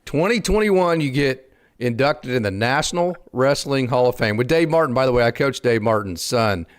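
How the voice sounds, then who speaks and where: A man's voice: medium pitch, lively intonation, normal speed, smooth. Enthusiastic male show host. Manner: enthusiastic, affirming interjections. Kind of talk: casual interview hosting.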